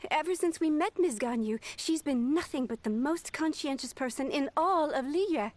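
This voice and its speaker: A woman's voice: sits high, animated, normal speed, a little mellow, dry recording. A woman voicing a dutiful young female secretary in a game who speaks politely and earnestly. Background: game music.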